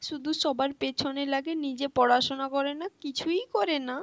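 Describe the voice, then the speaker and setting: A woman puts on a squabbling little village child for a doll story. The voice is very high and childishly sing-song. The recording is dry, with almost no room sound. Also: normal speed, no echo. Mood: annoyed, threatening.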